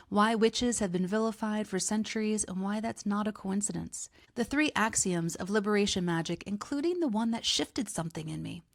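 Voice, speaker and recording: A woman's voice: mid-range voice, creaky, no room sound, studio quality. A woman hosting a podcast, reading a scripted introduction and then chatting with enthusiasm as an interviewer. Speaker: podcast host.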